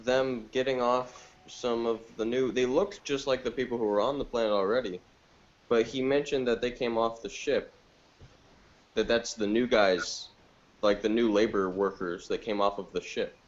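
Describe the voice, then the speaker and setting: A man's voice: medium pitch, steady tone, normal speed, muffled recording. A man giving his view in a casual podcast review discussion.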